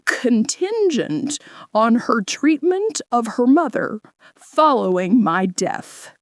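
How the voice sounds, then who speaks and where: A woman voicing a formal male lawyer reading out legal text in an audio story, in a measured manner. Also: on the high side, big pitch swings, dry recording, clean studio sound. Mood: formal, emphatic.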